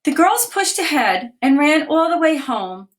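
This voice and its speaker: A woman with a slightly raised voice reads a children's picture book aloud over a live video stream. She paces each line gently and clearly, stopping now and then to point out what the pictures show. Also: sits high, very animated, measured pace. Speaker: children's author reading aloud. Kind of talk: storybook reading aloud. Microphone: phone or webcam on a live video stream.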